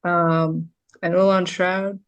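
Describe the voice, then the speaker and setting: A woman's voice: fairly low pitch, little pitch movement, takes it slowly, clear, resonant tone, muffled recording. A woman voice actor chatting casually as a podcast guest in a playful, informal way. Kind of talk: casual chat.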